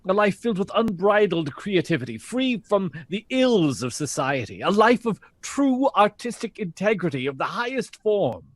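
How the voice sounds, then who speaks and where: A proud 1960s bandleader in an audio drama, earnest and pleading. His delivery is impassioned and widely varied in pitch, recorded dry with little room around it. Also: sing-song, moderate pace, few pauses, dry recording. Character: proud, pleading male big band leader in the 1960s.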